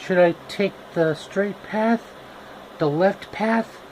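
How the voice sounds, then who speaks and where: A man's voice: sits high, slow pace, darkish tone, slight background noise, voice pushed up, low-quality recording. A man voicing a curious, naive young girl in an amateur storybook reading. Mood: puzzled. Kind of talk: amateur storybook character acting.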